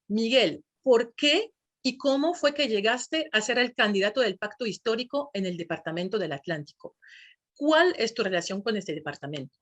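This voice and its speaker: A woman's voice: on the high side, expressive, moderate pace. A woman interviewing a guest, asking short, friendly questions and brief acknowledgements.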